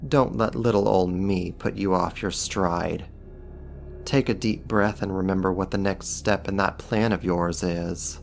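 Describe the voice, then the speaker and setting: A man's voice: low-pitched, fairly even intonation, unhurried, vocal fry, a little hiss or hum. A man playing a powerful, sly demon in an ASMR roleplay, sarcastic and teasing with condescending mock politeness. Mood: condescending, taunting. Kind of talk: ASMR roleplay, slow scripted monologue to the listener.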